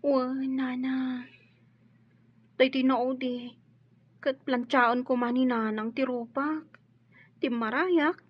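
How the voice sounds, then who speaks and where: A meek, polite young housemaid in a radio drama. Her voice is high and girlish, and the recording is a little dull. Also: steady tone, takes it slowly, long pauses, husky, full character voice. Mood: sad, confiding. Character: timid, well-mannered young maid, mistreated daughter. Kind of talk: Ilocano radio drama acting.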